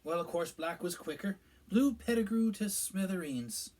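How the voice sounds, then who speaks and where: A man's voice: high voice, animated, normal speed, darkish tone, pitched above the performer's own voice. A man voicing a self-important middle-aged male government minister who talks in a pompous, evasive way. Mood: solemn, pompous.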